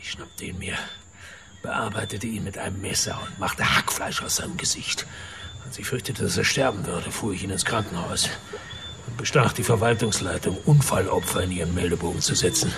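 A man's voice: deep voice, brisk, vocal fry, background noise. An actor playing a crude, boastful, middle-aged wealthy father in a radio drama, with a mocking, theatrical manner. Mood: confessing.

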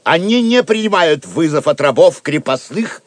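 A man's voice: on the high side, lively intonation, normal speed, no room sound, lightly acted. An actor playing a resolute, courteous knight in an audio drama, declaiming to his allies. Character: noble, resolute knight, composed leader among rebels.

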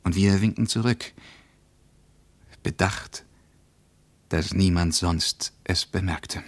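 A man playing a reflective first-person narrator recounting a journey in a radio play, with a measured, precise literary delivery. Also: low voice, no room sound.

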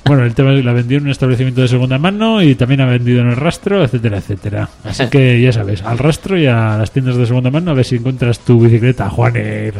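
A man's voice: mid-range voice, fast talker, creaky, few pauses, darkish tone. Joking man reading news. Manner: joking, laughs between items, colloquial. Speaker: radio show co-host reading the news. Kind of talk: casual news chat, half read.

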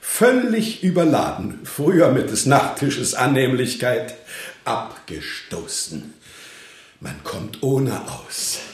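A man's voice: medium pitch, very animated, slow. A man playing a brusque, older former collector in a German radio play, curt and dismissive, proud of owning nothing. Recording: roomy echo, faint music behind.

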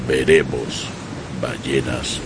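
An actor playing a stoic harpooner who speaks in clipped, broken phrases in a radio drama. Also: male voice, extremely low pitch, fairly even intonation, noisy background. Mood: calm, knowing. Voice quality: gravelly, halting broken speech.

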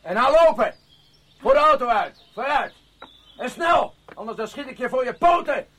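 An actor playing a brutal, menacing kidnapper in a radio play, barking curt commands. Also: male voice, very high voice, expressive, moderate pace, fairly bright tone, a little hiss or hum. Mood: aggressive, threatening. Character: menacing, brutal male kidnapper forcing people at gunpoint.

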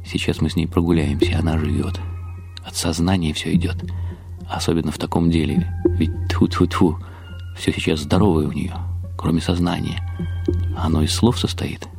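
A man with a low, dark voice narrates a literary radio play in the first person. He is expressive and confiding, with a wry edge. Faint music plays beneath him. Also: moderate pace, gravelly. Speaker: narrator and lead actor.

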